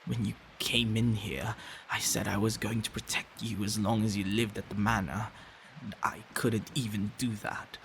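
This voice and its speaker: Guy doing a protective vampire boyfriend asmr thing, hushed n tender, lots of creaky fry, bit of background hiss. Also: lowish voice, little pitch movement, rough recording. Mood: remorseful, earnest. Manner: hushed, halting, drifting into breathy whispers and sighs. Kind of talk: intimate ASMR roleplay acting.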